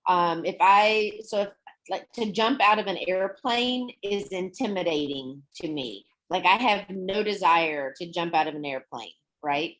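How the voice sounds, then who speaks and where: Woman, young adult, English teacher, chatty, laughs easily, lowish voice. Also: unhurried, low-quality recording. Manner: relaxed, chatty, laughs often. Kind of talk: online class explaining vocabulary.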